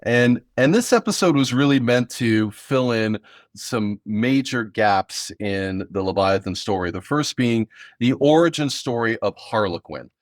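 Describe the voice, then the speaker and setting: A man's voice: mid-range voice, expressive, measured pace, no room sound, clean studio sound. A man hosting a podcast, talking casually as he introduces an episode. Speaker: podcast host and audio drama writer.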